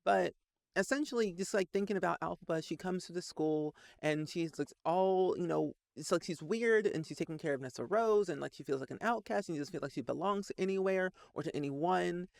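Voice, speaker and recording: A man in his late twenties tells a long personal story, his somewhat high voice catching on a gravelly edge. He rambles and leans on "like" constantly. The recording is dry and close. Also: brisk, no echo. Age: 20s. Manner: rambling, frequent "like" fillers. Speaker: Sims content creator.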